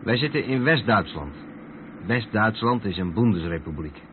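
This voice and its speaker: An actor playing a calm truck driver who explains things patiently to his mate in a radio drama. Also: on the low side, normal speed, close to an everyday voice. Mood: patient, explanatory. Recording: tinny narrow-band radio recording with vehicle noise.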